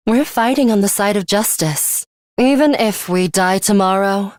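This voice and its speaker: A woman acts out an aloof young female fighter from a video game, morbid and bloodthirsty beneath a composed surface. She speaks formally and without hurry, at a middling pitch, with deadpan disdain. The sound is dry and free of any room. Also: no room sound, close to an everyday voice. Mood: calm, determined. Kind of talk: English video game voice acting.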